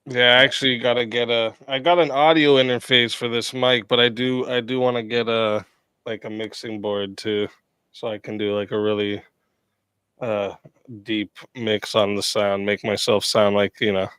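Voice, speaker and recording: A man's voice: mid-range voice, level tone, moderate pace, bright, forceful tone, clean tone, no room sound. A man with a nasal voice hosting a stream, chatting casually, laughing often and joking about himself. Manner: laid-back, laughs often, self-deprecating. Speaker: stream host and debate moderator. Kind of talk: casual chat.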